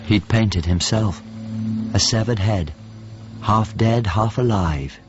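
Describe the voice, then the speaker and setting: An actor playing a brooding man in a reflective radio drama monologue, grave and hushed, in deliberate, halting phrases. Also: on the low side. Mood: brooding, sorrowful. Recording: muffled radio drama under loud music.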